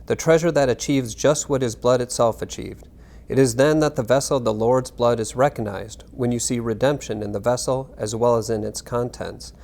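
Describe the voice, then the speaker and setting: Man reading aloud in measured, formal tones. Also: mid-range voice, a little mellow. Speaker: reader. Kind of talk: scripted reading of narration and historical text.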